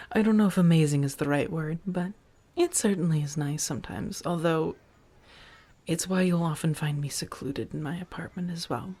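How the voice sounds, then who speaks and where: A woman playing a protective, worldly vampire roommate in a soft-spoken ASMR roleplay, speaking in measured, halting phrases that sometimes drop toward a whisper. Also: sits low. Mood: gentle, amused. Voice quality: soft, breathy whisper at times. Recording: music under the voice.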